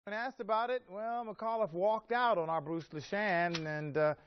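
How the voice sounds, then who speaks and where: A man's voice: high voice, moderate pace, smooth. A male TV news anchor reading an intro and then bantering with the reporter. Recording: dull lo-fi broadcast audio.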